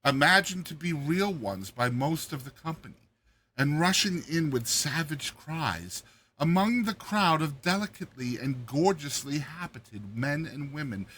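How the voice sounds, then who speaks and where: A man voicing a crippled dwarf court jester, a cunning schemer who speaks in a measured, coaxing way in a dramatized story reading. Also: a little high, lively intonation, fairly bright tone, a little hiss or hum. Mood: persuasive, scheming.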